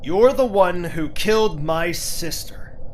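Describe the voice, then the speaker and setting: A man's voice: high-pitched, lively intonation, slow pace, a little hiss or hum. A man playing a ruthless, suspicious royal ruler in an audio drama, imperious, theatrical and menacing. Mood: commanding, vengeful.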